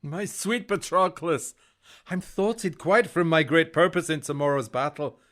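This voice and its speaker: An actor playing a proud, boastful Greek warrior in a Shakespearean audio drama, with a grand declamatory manner. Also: male voice, fairly high pitch, measured pace, fairly bright tone. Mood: lovesick resolve.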